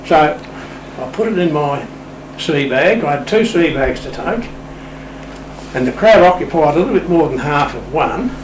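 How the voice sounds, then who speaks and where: A man's voice: on the high side, expressive, measured pace. An older Australian man telling a casual anecdote as an interview guest, in a wry, playful, understated way. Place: radio shack room. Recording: roomy, distant mic, clear background noise.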